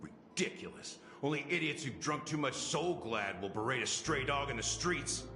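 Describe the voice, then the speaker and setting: A man's voice: medium pitch, animated, moderate pace, lightly acted. Man playing a sardonic rogue, wildly swinging delivery. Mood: indignant, scornful. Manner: theatrical, taunting, mocking. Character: roguish, sardonic grown man accused of murder, defiant trickster. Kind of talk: dramatic video game voice acting. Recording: very echoey hall, music underneath. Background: music.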